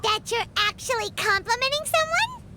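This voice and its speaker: A woman voicing a tiny, excitable floating fairy girl in a video game, with a childlike, squeaky, bubbly delivery. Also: normal speed, heavy background noise. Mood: cheeky. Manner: bubbly, refers to herself in third person. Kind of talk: cartoonish video game voice acting.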